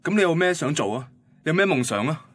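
A man's voice: fairly high pitch, expressive, fast, no echo. An actor playing a heartbroken young man recounting his first love in a radio drama, wistful and self-deprecating, with a Hong Kong Cantonese accent. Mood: defensive. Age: young adult. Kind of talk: radio drama narration and dialogue.

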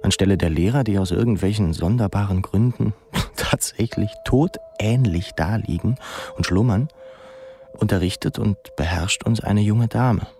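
An actor playing a musing, self-mocking young pupil in an intimate, ironic radio drama monologue. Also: male voice, on the low side, moderate pace, warm tone, slight background noise, lightly acted. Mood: wry, confiding.

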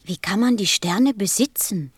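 A woman's voice: mid-range voice, unhurried, breathy edge, no room sound, studio quality. A woman playing a curious, earnest young boy in a radio play, innocent and persistent in manner. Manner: innocent, insistent questioning.

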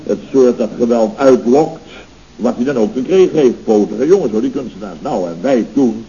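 Man acting a blustering, mellow-voiced boss. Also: mid-range voice, moderate pace, close to an everyday voice. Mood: excited, boastful. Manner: blustering, theatrical, muddles words and sayings. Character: pompous, touchy, self-important boss of a building firm. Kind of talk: 1970s Dutch radio comedy acting. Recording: muffled, hissy old radio recording.

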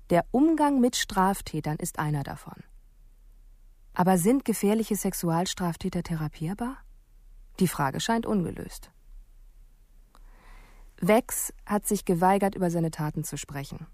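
A radio-drama narration as a police investigator, sober and reflective. Her voice is fairly low, with wry understatement. The sound is dry and close. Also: warm tone, dry recording.

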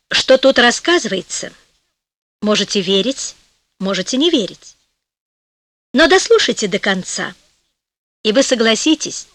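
A woman narrating a fairy tale from a script in an expressive, theatrical, measured storytelling manner. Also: fairly high pitch, no room sound. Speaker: audiobook narrator.

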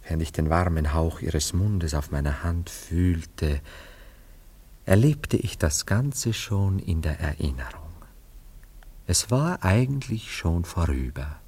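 A low-voiced, melancholy seducer in a radio play. He muses with theatrical self-pity and lingers over tender phrases, a vain romantic in love with his own sadness. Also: expressive, takes it slowly, darkish tone, slightly rough, exaggerated voice. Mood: dreamy, rapt. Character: melancholy, vain Viennese ladies' man, wistfully self-dramatizing. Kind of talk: German radio play acting.

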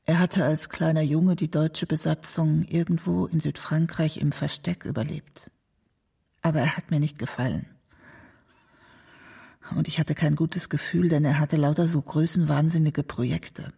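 Nostalgic friend, radio drama monologue, slightly high husky voice, reflective, disapproving, caring. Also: male voice, fairly even intonation, normal speed, mellow. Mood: reminiscing.

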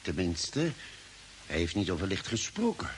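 An actor playing a calm, reasoning spaceship doctor in a science-fiction radio drama, speaking deliberately and probingly. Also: male voice, deep voice, full character voice. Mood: grave. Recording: hissy old radio drama recording.

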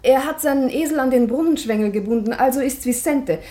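Pert, higher-voiced maidservant, played by an actress. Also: female voice, brisk, dark tone, natural voice. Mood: dry, pert. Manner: dry, respectful but pert, precise stage diction. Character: plain, sharp-tongued, loyal maidservant to a lady. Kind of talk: German radio play acting.

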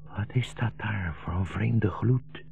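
Diepe, krakende oude rabbi. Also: male voice, fairly low pitch, slow pace, soft background music, heavily acted. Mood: awed, dying. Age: older. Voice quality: gravelly. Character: old blind rabbi, wounded and dying, gentle, visionary. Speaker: radio play actor. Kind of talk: 1960s radio drama acting. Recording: muffled 1960s radio broadcast recording.

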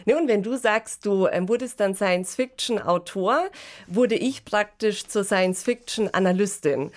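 A woman's voice: medium pitch, moderate pace. Woman co-hosting a conversational podcast. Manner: engaged, reflective, frequent tag questions. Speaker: podcast co-host; political scientist and science fiction analyst.

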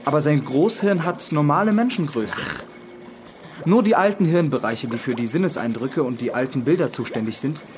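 An actor playing a young student in a radio drama, matter-of-fact and teasing, explaining and bantering. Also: male voice, on the high side, expressive, normal speed, a little mellow, slight background noise, close to an everyday voice. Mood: explanatory. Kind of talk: German radio drama acting.

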